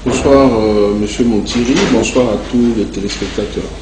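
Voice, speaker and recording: A man with a Congolese accent giving long, measured and halting answers as a guest in a television interview. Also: fairly low pitch, steady tone. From Democratic Republic of the Congo. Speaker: interview guest, entrepreneur and association president. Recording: echoey room, clear background noise.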